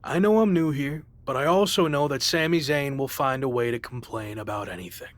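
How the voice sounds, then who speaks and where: A man's voice: medium pitch, brisk, natural voice. A man playing a proud, guarded young wrestling champion who keeps to himself, in a video game cutscene. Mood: guarded, firm.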